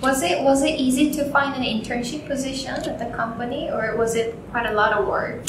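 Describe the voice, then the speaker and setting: A woman's voice: sits high, fairly even intonation, a little fast, background noise. A woman hosting an interview, asking questions in a friendly way with short encouraging interjections. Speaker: host. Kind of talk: casual interview. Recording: echoey room with loud music.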